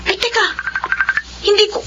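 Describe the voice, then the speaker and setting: An actress playing a young adult daughter in a Filipino radio drama, teasing and easily moved to tears. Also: female voice, moderate pace, natural voice. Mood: anxious. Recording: noisy, slightly dull radio drama recording.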